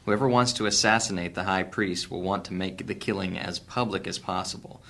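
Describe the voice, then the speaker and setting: A man playing a roguish, sardonic adventurer in a fantasy audio drama, with a brisk, wry delivery. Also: deep voice, gravelly, a bit bright. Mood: urgent.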